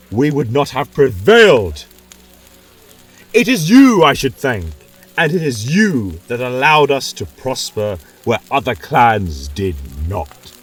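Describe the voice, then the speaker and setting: Man doing a proud, rousing chieftain, husky and lively. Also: medium pitch, voice pushed up, heavily acted. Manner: rousing, toasting. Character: proud, generous male Viking chieftain.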